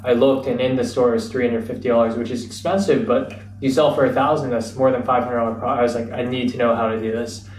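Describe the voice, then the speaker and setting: Relaxed American man, echoey distant mic. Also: medium pitch, quick pace, hardly any pauses. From United States. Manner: relaxed, reflective, many fillers like "you know". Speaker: guest, entrepreneur. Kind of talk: casual interview storytelling. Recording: roomy, distant mic, faint ambience.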